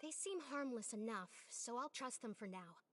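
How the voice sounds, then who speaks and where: A woman playing a prickly, excitable young female researcher in an anime-style game, bossy and emphatic. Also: on the high side, normal speed, soft background music. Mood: grudging.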